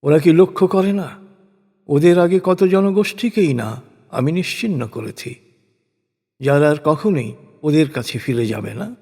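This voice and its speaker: A man narrating translated scripture in a solemn, measured, deliberate way, reading from a script. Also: fairly high pitch, lively intonation, dark tone. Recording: long reverberant hall echo.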